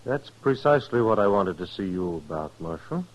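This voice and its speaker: Casting: dignified frontier gambler, lowish voice, precise gentlemanly diction, proud, vintage radio western. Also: male voice, sing-song, normal speed, highs cut off. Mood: smooth, formal. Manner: formal, precise, touchy about honor. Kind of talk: 1950s radio western acting.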